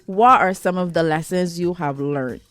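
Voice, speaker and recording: A woman hosting a radio interview, asking thoughtful questions in a slow, drawn-out way. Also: lowish voice, fairly bright tone, no room sound. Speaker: radio host.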